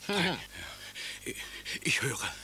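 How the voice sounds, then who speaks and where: An actor playing a poor, dutiful street sweeper in a radio play who stammers and keeps answering "jawohl". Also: male voice, fairly high pitch, very animated, drawn-out words, bright, forceful tone, a little hiss or hum, exaggerated voice. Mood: humble.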